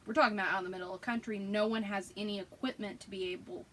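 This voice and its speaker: A woman's voice: medium pitch, very fast, a bit bright. Southern woman chatting, faint hiss. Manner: self-deprecating, rambling storyteller. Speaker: podcast co-host. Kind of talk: casual chat.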